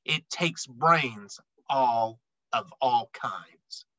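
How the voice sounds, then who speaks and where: Animated man reading a children's picture book. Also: medium pitch, very slow, no echo, highs cut off. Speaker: read-aloud storyteller. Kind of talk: expressive children's picture book read-aloud.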